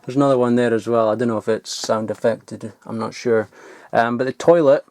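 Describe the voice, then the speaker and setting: A man's voice: medium pitch, fairly even intonation, fairly quick, a little mellow. Enthusiastic young man, noisy amateur recording. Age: young adult. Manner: sweary, informal, enthusiastic. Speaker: vlogger showing old toys. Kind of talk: casual handheld show-and-tell. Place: loft at home, indoors.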